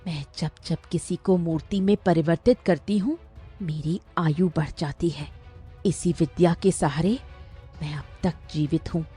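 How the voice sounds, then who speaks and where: A woman voicing a cunning, vengeful, ageless sorceress princess in an animated fairy tale. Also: deep voice, unhurried, slightly rough, soft background music, full character voice. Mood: menacing.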